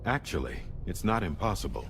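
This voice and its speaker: An actor playing a calm, deliberate advisor in a sci-fi game, explaining in measured phrases. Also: male voice, sits low, background noise. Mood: grave, measured.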